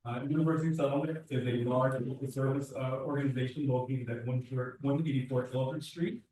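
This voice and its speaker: A man speaks at a community board meeting, earnest and quick, often restarting his sentences. His voice sits at an ordinary pitch. It sounds distant and echoey, picked up by a room mic across a large meeting hall. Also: steady tone, mellow. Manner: earnest, talks fast with restarts. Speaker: youth program director. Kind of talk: public meeting testimony.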